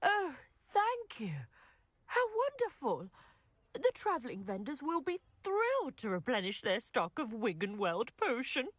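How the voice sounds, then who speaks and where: A woman's voice: sits high, huge pitch swings, unhurried, no echo, heavily acted. An actress playing a kindly, grateful village witch in a video game, effusive and motherly. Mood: delighted, grateful. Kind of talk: scripted video game acting.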